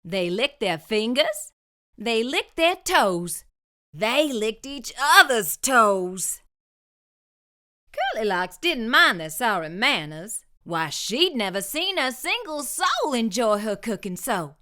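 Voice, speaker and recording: A woman hosting a story time and reading a picture book aloud, expressive and sing-song. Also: a little high, takes it slowly. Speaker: storyteller host.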